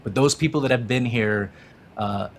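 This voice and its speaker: A man's voice: medium pitch, normal speed, a little hiss or hum. A man co-hosting a casual soccer talk show, enthusiastic and rambling, with many fillers. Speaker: podcast co-host.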